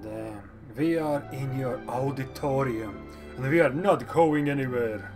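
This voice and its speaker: A woman gamer giving casual, low-key reactions in brief interjections during a playthrough. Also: unhurried. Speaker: gamer reacting to a story quest. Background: game music.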